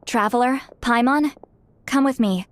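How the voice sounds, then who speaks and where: A woman playing a guarded, grumbling but soft-hearted female shaman in an anime-style game, dry and understated. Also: fairly high pitch, unhurried, slight background noise, close to an everyday voice. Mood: quietly moved. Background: faint music.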